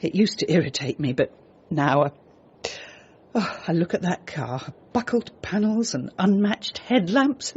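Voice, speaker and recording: An actress playing a grieving older mother in a radio drama, fond and fragile, speaking haltingly with emotion. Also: fairly low pitch, expressive, darkish tone, husky, highs cut off, full character voice. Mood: tearful, wistful.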